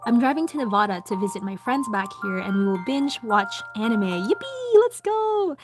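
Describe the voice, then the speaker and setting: A young woman with a Filipino accent chatting casually with her livestream viewers while drawing, soft-spoken with lots of ums. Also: on the high side, very animated, normal speed. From Philippines. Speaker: livestream host. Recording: background music. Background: music.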